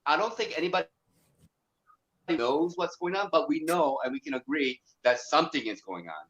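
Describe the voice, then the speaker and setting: A man speaking as a guest, working through his ideas slowly and filling pauses with "uh" and "like." His voice sits a bit high for a man. The sound is dull and lo-fi. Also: expressive, a bit bright. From America. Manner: thoughtful, hesitant, many fillers. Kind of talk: casual interview talk.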